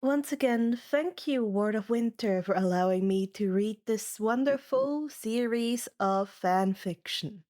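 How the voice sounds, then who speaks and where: A woman's voice: a little high, unhurried, hardly any pauses, mellow. A woman hosting and narrating a fan fiction reading in a scripted, audiobook style. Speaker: fan fiction reader and channel host.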